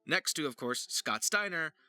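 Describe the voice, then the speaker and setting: Animated, very bright-voiced man. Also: a little high, normal speed, faint music behind. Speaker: voice actor hosting a tutorial. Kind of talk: casual tutorial chat.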